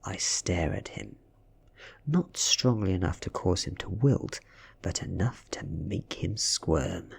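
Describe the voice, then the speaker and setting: Very husky, soft-spoken British man. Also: deep voice, slow. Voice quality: soft, breathy, near-whispered. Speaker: audiobook reader. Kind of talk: soft-spoken ASMR story narration.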